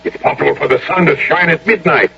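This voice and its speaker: A self-satisfied Hessian colonel in a radio play. The voice is fairly high and gravelly, swooping theatrically with condescension. The recording is muffled, with a faint hum. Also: male voice, fast, fairly bright tone, exaggerated voice. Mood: dismissive. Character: pompous, overconfident Hessian colonel, mature man. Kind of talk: radio drama acting.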